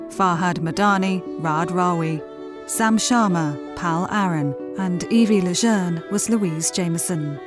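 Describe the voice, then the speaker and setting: Woman announcer reading end credits, lowish voice, light music behind. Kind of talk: scripted closing credits read.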